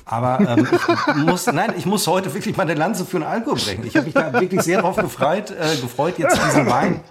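A man's voice: fairly high pitch, big pitch swings, brisk, over a noisy crowd. A German man co-hosting a casual podcast chat, rambling and self-deprecating with many hesitant fillers. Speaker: podcast co-host.

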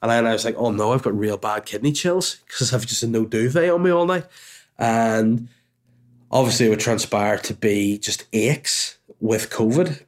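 A man with a stuffy, congested voice, telling a rambling, chatty personal story at home as a podcast host, with swearing and asides. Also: lowish voice. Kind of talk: casual storytelling monologue.

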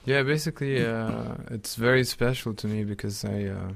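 A man from Egypt, a guest musician, talking softly and hesitantly about his songs on a radio show. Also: medium pitch, normal speed, vocal fry, no room sound. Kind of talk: casual radio interview. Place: radio studio.

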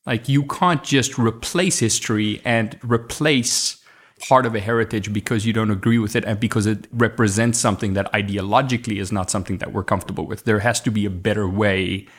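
A man's voice: fairly low pitch, fairly even intonation, fairly quick, breathy edge. A man from South Africa whose first language is Afrikaans, in his thirties, talking as a podcast guest. He tells his story reflectively, with frequent restarts and fillers. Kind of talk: conversational interview storytelling.

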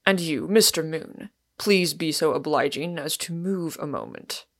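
A woman's voice: low voice, measured pace, no echo, studio quality. A woman voicing a stern middle-aged male doctor, brusque, curt and commanding, in an audiobook. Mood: firm, impatient. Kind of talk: audiobook character voice.

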